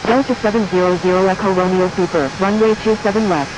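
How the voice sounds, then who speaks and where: A man's voice: high voice, fairly even intonation, fast talker. A man speaking clipped, procedural air traffic control phraseology as a radio operator.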